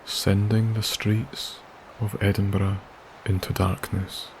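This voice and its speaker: A man narrates a sleep story in a soft, soothing voice, low and nearly flat in pitch. He speaks very slowly, leaving long pauses between phrases. A steady background hiss sits under his voice. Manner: slow, soothing, gentle delivery with long pauses. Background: wilderness ambience.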